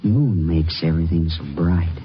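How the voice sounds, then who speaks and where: Actor as a low-voiced college boy, old radio. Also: moderate pace, lightly acted. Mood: easygoing. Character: easygoing male college student reassuring his date. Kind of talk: 1950s radio drama acting. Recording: muffled old radio broadcast, music behind.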